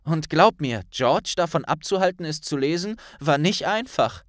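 Guy putting on a higher voice for a gentle young witch in an audiobook, earnest, a bit hesitant. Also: expressive, moderate pace, no room sound, studio quality, close to an everyday voice. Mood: warm.

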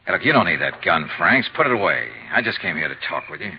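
Tough american radio gumshoe, clipped and dry, old muffled recording w/ music behind. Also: male voice, deep voice, sing-song, fast talker. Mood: calm, steady. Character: tough, dry freelance insurance investigator narrating his case. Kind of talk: 1950s radio detective acting and narration.

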